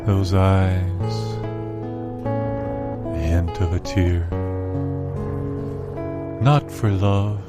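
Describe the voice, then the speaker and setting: A man performs a melancholy, lovelorn male astronaut in a comic audio drama. His deep voice moves very slowly and solemnly, pausing between poetic phrases, while plucked guitar plays prominently behind him. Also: steady tone. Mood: wistful. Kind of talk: slow dramatic monologue over guitar, audio theatre. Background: loud plucked guitar music.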